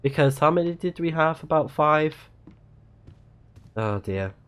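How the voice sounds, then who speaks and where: A man's voice: on the high side, animated, measured pace, a bit bright, smooth. A man doing casual let's-play commentary on a livestream, often reading in-game text aloud and correcting himself when he stumbles. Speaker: livestreaming gamer and channel host.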